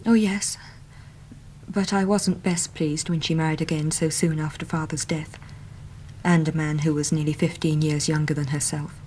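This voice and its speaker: An English actress playing an earnest, talkative young Victorian typist in a radio drama, chatty and plain-spoken. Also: female voice, low voice, little pitch movement, moderate pace, darkish tone, slight background noise, natural voice, low-quality recording. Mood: indignant, candid.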